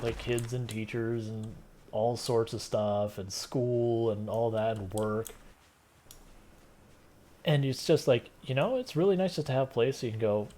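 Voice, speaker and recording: A man streaming a game and chatting with viewers in a relaxed, rambling way, with a soft, soothing voice. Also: medium pitch. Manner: relaxed, rambling, many fillers and restarts. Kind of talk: casual gameplay stream chat.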